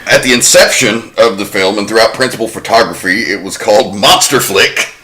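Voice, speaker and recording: A man hosting a casual podcast with a fairly low voice and a bright, forceful tone. He talks in an informative way, laying out fact after fact. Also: fairly quick. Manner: informative, walks through researched facts. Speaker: podcast host. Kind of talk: casual film-history chat.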